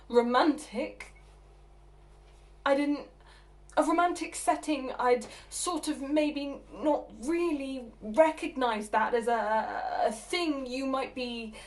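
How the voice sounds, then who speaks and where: High female voice, stammering, exasperated, theatrical monologue, halting phrases with agitated bursts, an actress playing a flustered girlfriend. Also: darkish tone. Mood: flustered, hesitant. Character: flustered, exasperated woman answering her partner's unwelcome proposal.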